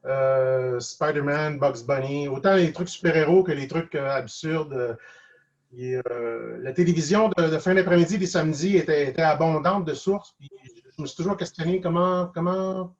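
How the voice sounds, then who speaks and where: A man's voice: a little high, fairly even intonation, moderate pace. A man with a Quebec French accent speaking casually and reflectively as a guest, hesitant and often repeating words. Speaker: guest cartoonist and animator. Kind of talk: casual online interview, reflective advice. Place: video call. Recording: dull lo-fi online call.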